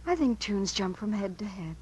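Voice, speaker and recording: An actress playing an eager, inquisitive young niece in a 1950s radio drama, earnest and insistent. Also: female voice, mid-range voice, sing-song, moderate pace, darkish tone, slight background noise, lightly acted. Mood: playful. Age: young adult. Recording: vintage 1950s radio broadcast.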